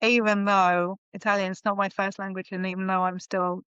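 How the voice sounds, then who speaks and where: Smooth-voiced woman, slightly muffled. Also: medium pitch, level tone, a little fast, fairly bright tone, no echo. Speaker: podcast guest, marketing consultant. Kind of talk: casual conversation.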